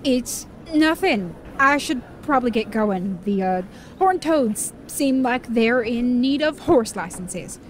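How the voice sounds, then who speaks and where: A bitter, slippery frontier outlaw acted by a woman, theatrical and evasive. The voice is on the high side, in audio drama style with faint noise behind it. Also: lively intonation, takes it slowly, few pauses. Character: resentful, shifty Wild West outlaw forger. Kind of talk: western audio drama acting.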